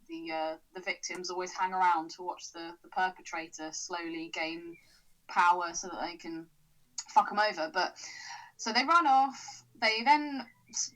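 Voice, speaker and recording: A woman co-hosting a podcast in casual chat, sarcastic and quick to swear, laughing often. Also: on the low side, low-quality recording. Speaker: podcast co-host.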